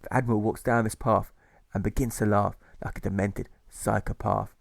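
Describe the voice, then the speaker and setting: A man narrating a rhyming audiobook in a theatrical, deliberate storyteller's manner. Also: fairly low pitch, steady tone, gravelly, dry recording. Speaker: author narrating own novel.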